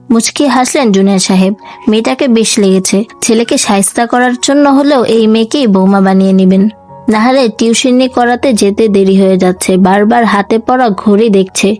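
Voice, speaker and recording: A woman's voice: mid-range voice, darkish tone. A woman reading a dramatic novel aloud as a scripted voiceover narrator. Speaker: story narrator. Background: music.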